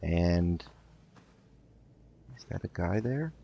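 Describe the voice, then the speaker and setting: A man's voice: low-pitched, expressive, very slow, creaky, highs cut off, a little hiss or hum. A man hosting a casual let's play, thinking aloud hesitantly as he goes. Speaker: gaming video host.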